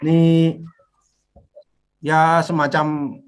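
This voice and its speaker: A man's voice: on the high side, level tone, extremely slow, very noisy. A man with a Javanese accent moderating an online group discussion in a chatty way, laughing between remarks, on a video call. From Java. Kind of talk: casual online meeting moderation.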